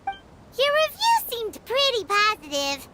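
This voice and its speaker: A woman's voice: extremely high pitch, wildly swooping pitch, takes it slowly, very noisy, exaggerated voice. A woman voicing a tiny, perky, childlike fairy companion in a video game, blunt and given to short exclamations. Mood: perky, curious. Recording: loud background music. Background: music.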